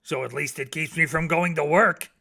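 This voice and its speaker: A man's voice: fairly high pitch, normal speed, gravelly, bright, forceful tone, exaggerated voice. A man voicing a habitually late, cheerfully self-justifying commuter from a storybook.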